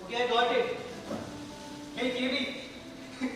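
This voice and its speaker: A man's voice: extremely high pitch, little pitch movement, takes it slowly. A man on stage acting an awkward, well-meaning teenage friend. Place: stage in a large hall. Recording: far mic, echoey hall, music.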